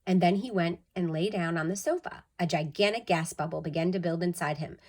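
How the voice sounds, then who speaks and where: A woman's voice: fairly low pitch, fairly quick, a little mellow. A woman narrating a children's story expressively, with dramatic emphasis, like a school storytime reader.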